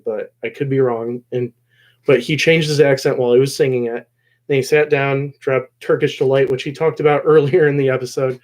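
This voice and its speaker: A man hosting a casual recap discussion of a show episode, rambling along and often pausing mid-phrase. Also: mid-range voice, a little mellow. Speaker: host of a show discussion video.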